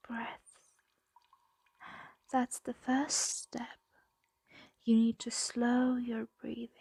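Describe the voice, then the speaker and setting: A woman voicing a possessive, doting female dragon in an ASMR roleplay, soothing and teasing in a breathy, intimate whisper. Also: on the high side, warm tone, no room sound, exaggerated voice.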